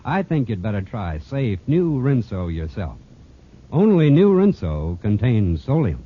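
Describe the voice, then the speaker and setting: A man's voice: mid-range voice, big pitch swings, unhurried. A male radio announcer reading a scripted 1940s commercial in a persuasive, emphatic way. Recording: tinny, noisy vintage radio recording.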